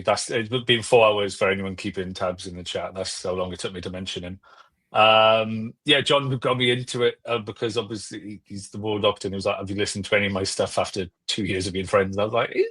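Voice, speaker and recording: A man's voice: lowish voice, fairly bright tone. A man chatting casually as a podcast panellist, giving opinionated, jokey fan talk. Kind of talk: casual chat.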